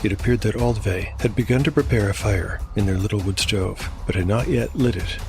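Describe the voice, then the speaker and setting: Male narrator, low voice, dramatic measured storytelling, dark fantasy audio drama, music underneath. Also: vocal fry. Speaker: narrator and host.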